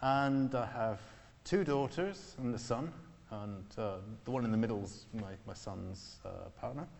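A man's voice: mid-range voice, measured pace, gravelly, a little mellow. A middle-aged man, an astronomer from England who grew up in Scotland, answering onstage questions in a mild, hesitant way with dry wit. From England, raised in Scotland. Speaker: astronomer guest. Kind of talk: onstage interview. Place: hall with live audience. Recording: echoey hall.

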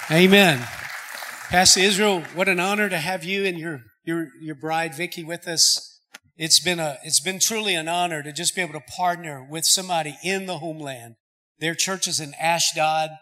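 A man's voice: a little high, pushed and bright. A man, the church's pastor, earnestly introducing a guest speaker and reading scripture. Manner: earnest, with restarts. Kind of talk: sermon introduction.

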